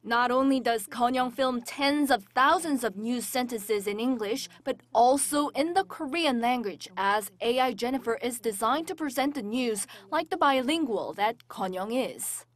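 A woman narrating a news feature in a crisp, measured broadcast read. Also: on the high side. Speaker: reporter narrator.

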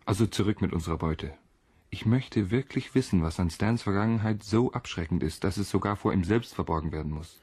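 A cocky young male student in a radio drama, mischievous and theatrically persuasive. His voice is fairly low and husky. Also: brisk, a little mellow.

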